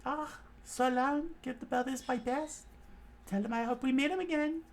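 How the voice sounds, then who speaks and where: A man's voice: extremely high pitch, normal speed, voice pushed up. A man putting on a sly teasing thief girl. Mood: mocking.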